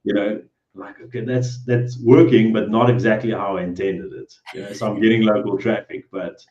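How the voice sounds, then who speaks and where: A deep-voiced man with a South African accent speaks casually in an interview, pausing often and trailing off mid-thought. His audio comes through a dull, echoey room. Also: fairly even intonation, darkish tone. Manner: hesitant, trailing off, frequent "you know". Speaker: guest, cleaning business owner. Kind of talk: casual video call interview.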